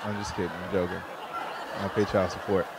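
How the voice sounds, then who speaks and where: A man in his forties doing a stand-up comedy set on a small studio stage with a handheld mic, talking conversationally with playful asides and high-pitched voices for punchlines. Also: level tone, a little fast, a loud crowd, heavy background noise. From Chicago, USA. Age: 40s.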